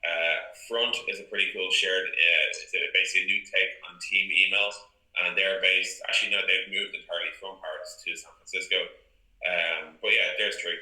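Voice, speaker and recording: A man's voice: low voice, little pitch movement, a little fast, sharp tone. A man giving interview answers about business in an informal, thinking-aloud way, repeating phrases for emphasis over a video call. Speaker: interview guest, software company co-founder. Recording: roomy, echoey internet call audio.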